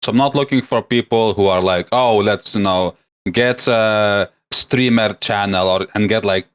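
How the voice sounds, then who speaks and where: A man's voice: fairly low pitch, normal speed, clean tone. A man with a mixed Lithuanian English accent, chatting casually as a podcast guest and rambling with fillers. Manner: rambling, many fillers and restarts. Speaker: guest, streamer.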